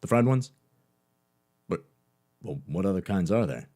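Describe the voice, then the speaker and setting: An actor playing a brusque, distracted school guidance counselor in a comedy, muttering and repeating himself. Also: male voice, low-pitched, expressive, a little slow, mellow.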